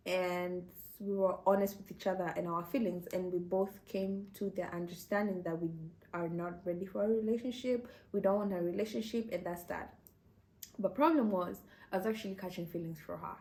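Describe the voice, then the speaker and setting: A woman's voice: medium pitch, darkish tone. Chatty woman telling a story. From Kenya. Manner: chatty, laughs often, leans on "you know". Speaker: vlogger. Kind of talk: casual storytelling to camera.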